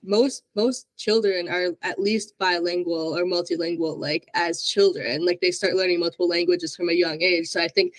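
A woman's voice: on the low side, steady tone, moderate pace, hardly any pauses, no echo, low-quality recording. A woman hosting a podcast interview, upbeat and enthusiastic, praising her guest often. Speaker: podcast host. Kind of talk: casual interview chat.

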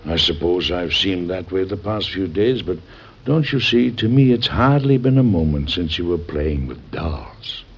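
A man's voice: low voice, lively intonation, a little slow, breathy edge, exaggerated voice. An actor with an American accent playing a stern, protective, middle-aged President and father in old-time radio drama, formal and emphatic. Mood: tender, regretful. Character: stern, protective President and father, hot-tempered but loving. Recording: muffled old radio recording.

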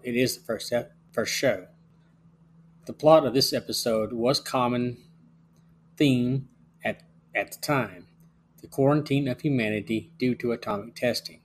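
A man with a mid-pitched voice hosts a review show. He reads prepared commentary as narration, summarizing and assessing as he goes. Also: a little hiss or hum. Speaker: podcast host and reviewer.

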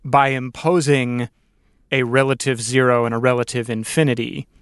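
Podcast host, man, mid-pitched voice in a dry room; reflective, feels his way through each idea. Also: level tone, smooth, no room sound. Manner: thoughtful, halting phrasing that builds ideas aloud. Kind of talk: reflective interview conversation.

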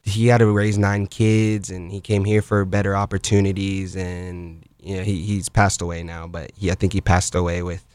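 A man, a guest actor, answering interview questions in a studio, measured and pausing with "um" and "uh". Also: sits low, level tone, darkish tone, dry recording. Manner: measured, with "um" and "uh" pauses. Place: podcast studio.

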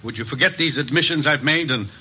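A cornered murderer, husky voice, bright tone, tense bargaining, 1950s radio play. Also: male voice, mid-range voice, quick pace. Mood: cold, threatening. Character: cornered male murderer, cold and calculating. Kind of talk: 1950s radio drama acting.

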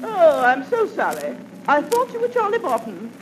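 A woman's voice: high voice, wildly swooping pitch, normal speed. An actress playing a brash, belligerent woman in a 1940s radio comedy. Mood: apologetic. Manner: belligerent, loud, insulting. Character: brash, aggressive, scornful woman who barges in swinging. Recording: noisy vintage 1940s radio recording.

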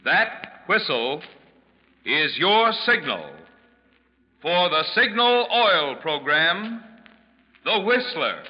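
A man's voice: fairly high pitch, sing-song, drawn-out words, pauses often, breathy edge. A man announcing a sponsored program in a 1940s radio style. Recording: dull old radio recording.

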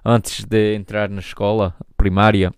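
A man in his thirties with an American accent speaking European Portuguese, hosting a solo podcast and often pausing and restarting as he searches for words. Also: lowish voice, level tone, smooth, no room sound, clean studio sound. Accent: European Portuguese, spoken by an American. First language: English. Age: 30s. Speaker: podcast host.

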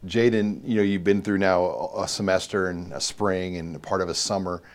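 A man with a deep, low voice hosts a sports interview. He asks friendly questions that wander and loop back on themselves. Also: steady tone, moderate pace. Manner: friendly, rambling questions with fillers. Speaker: sports reporter and host.

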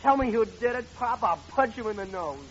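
A man's voice: very high-pitched, expressive, fairly quick, slight background noise. A male actor playing a hot-headed, wounded teenage American son in a 1940s radio comedy. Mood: angry. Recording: muffled vintage radio recording.

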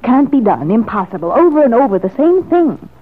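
An anxious but determined wife in a vintage radio soap. Her delivery is earnest and dramatic, with a slightly husky voice, and the old recording is thin and muffled. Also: on the high side, big pitch swings, fairly quick. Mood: discouraged, emphatic. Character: determined, anxious married woman longing for a baby. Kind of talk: 1940s radio soap opera acting.

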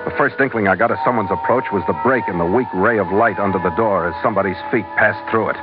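A man's voice: fairly low pitch, fast talker, lightly acted. A man playing a tough, wry adventurer in old radio drama, with a hard-boiled delivery. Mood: dry narration. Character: tough, wry adventurer hero narrating his exploits. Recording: dull old radio recording with music.

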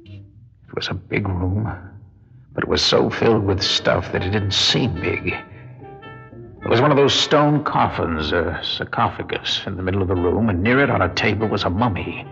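A man's voice: low voice, moderate pace, gravelly, a bit bright, soft background music. An actor playing a wary, world-weary con man in a radio drama, with a wry, street-wise way of talking. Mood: tense narration. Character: wary, world-weary male con man narrating his own crime. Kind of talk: classic radio drama acting with first-person narration. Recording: dull lo-fi radio drama recording.

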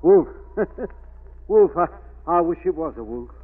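A man's voice: on the high side, expressive, unhurried. Superstitious Scotsman, tinny old radio. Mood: grave dread. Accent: Scottish. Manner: grave, foreboding. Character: superstitious, fearful Scottish estate worker. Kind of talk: 1940s radio drama acting.

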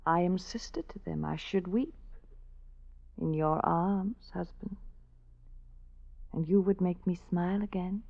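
Aggrieved, grasping wife, muffled radio. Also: female voice, lowish voice, fairly even intonation, unhurried, creaky, long pauses, warm tone. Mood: brooding. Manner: theatrical, archaic phrasing. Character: aggrieved, grasping, brooding wife and disinherited daughter. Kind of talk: 1950s radio drama acting. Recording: dull old radio recording.

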